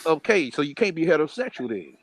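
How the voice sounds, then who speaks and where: A man's voice: on the high side, very animated, quick pace. A man teasing bluntly and repeating his point in casual banter. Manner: blunt, mocking, repetitive.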